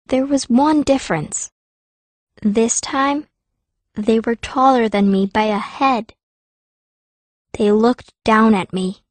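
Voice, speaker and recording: A woman reads a fantasy audiobook in a high voice. Her delivery is expressive and dramatic, telling the story in the first person. The sound is dry and close. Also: slow, pauses often, no echo. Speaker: audiobook narrator, playing the young heroine.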